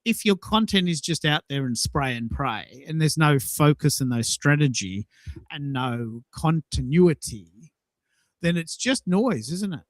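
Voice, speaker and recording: A man's voice: on the high side, expressive, measured pace, no echo. A man in a casual discussion, making emphatic comments and posing rhetorical questions. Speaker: participant in a live audio discussion. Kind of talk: conversational commentary.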